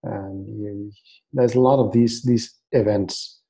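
Earnest Iraqi-born man, dark low voice. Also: steady tone, slow pace, a little hiss or hum. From Iraq. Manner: earnest, repeats and restarts words. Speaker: guest. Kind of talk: casual interview.

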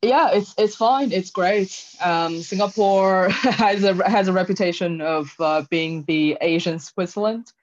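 A woman's voice: medium pitch, measured pace, hardly any pauses, muffled recording. A woman in her thirties from China, answering interview questions concisely with some hesitant fillers. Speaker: interview guest, tech leader.

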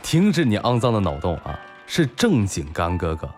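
An actor playing a brash, bantering, foul-mouthed bar owner and loyal buddy in a Chinese audio drama. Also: male voice, deep voice, huge pitch swings, moderate pace, a little mellow, faint music behind.

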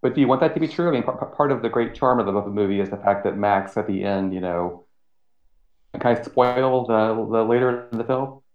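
A man in his late forties chatting as a podcast guest in a halting way, often repeating words. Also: mid-range voice. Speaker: podcast guest, freelance writer. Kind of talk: casual interview chat. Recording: dull, echoey remote-sounding recording.

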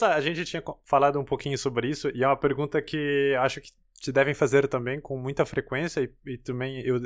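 Brazilian guy host, neutral brazilian accent, mid voice, measured and a bit searching. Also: medium pitch. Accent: neutral Brazilian Portuguese. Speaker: podcast host. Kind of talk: interview questions.

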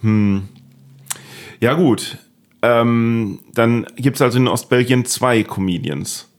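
A man's voice: medium pitch, slow. A man hosting a comedy podcast in casual chat, playful, repeating words for comic effect. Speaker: podcast host.

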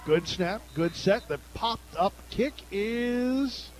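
Casting note: high-pitched male play-by-play announcer, brisk game calling, local radio broadcast. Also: lively intonation.

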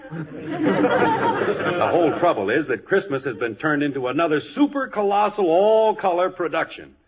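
A man's voice: fairly high pitch, huge pitch swings, measured pace, husky, muffled recording, some chatter behind, full character voice. An American actor playing an exasperated, indignant middle-aged father in a 1950s radio sitcom, blustery, sarcastic and emphatic. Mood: exasperated, preachy.

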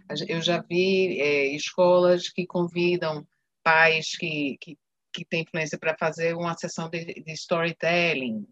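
Woman interviewer, lowish voice, dry and muffled sound, relaxed and hesitant. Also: no room sound. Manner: relaxed, with small hesitant repeats. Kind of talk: casual interview chat. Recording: dull, dry recording.

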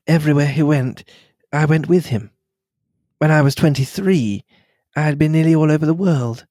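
A man reading the lines of a reserved young English wife, speaking quietly and in a measured way. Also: fairly high pitch, no echo, clean studio sound, close to an everyday voice. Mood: thoughtful.

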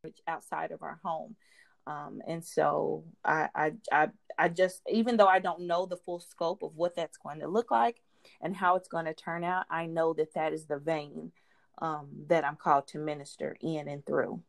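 A woman's voice: low voice, fairly even intonation, normal speed, vocal fry. A married woman speaking as a podcast guest, giving an earnest faith-based testimony, often repeating words and restarting her sentences. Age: adult.

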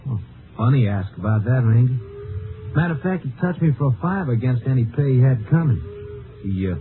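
A man's voice: medium pitch, animated, brisk, close to an everyday voice. An actor playing an obliging ranch foreman in a 1950s radio drama, with hesitant, rambling, folksy American speech. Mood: hesitant, helpful. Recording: muffled old-time radio recording with music.

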